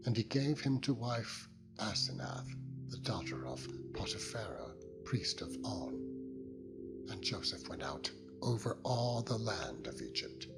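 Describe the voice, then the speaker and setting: Slow, low-voiced man reading scripture dramatically. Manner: solemn, measured, dramatic. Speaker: scripture narrator. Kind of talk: dramatized King James Bible reading. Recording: loud music under the voice.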